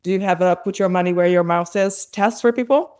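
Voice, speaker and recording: A woman's voice: on the low side, brisk, a little mellow, smooth. A woman from Hungary hosting a podcast interview, thoughtful and searching for her words as she asks questions. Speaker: podcast host. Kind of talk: conversational interview.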